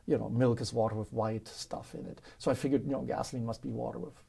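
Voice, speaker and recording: A man in his fifties with a German accent, telling stories as a guest in a studio interview, animated and quick to laugh. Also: mid-range voice, gravelly, husky. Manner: animated, laughs often, acts out quotes. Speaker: guest, professor. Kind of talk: casual interview storytelling. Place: studio with dark curtain backdrop.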